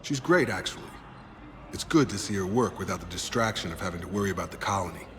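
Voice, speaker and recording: A man's voice: low-pitched, lively intonation, fast talker, fairly bright tone. A man playing a loyal, easygoing ex-soldier in a video game, talking earnestly and familiarly with a comrade. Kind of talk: conversational game dialogue. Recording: reverberant, music behind.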